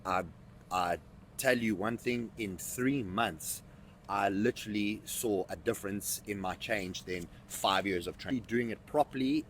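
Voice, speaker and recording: A man's voice: on the low side, a little hiss or hum. A man in his thirties, a fitness coach, answering interview questions emphatically and often repeating words as he thinks. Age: 30s.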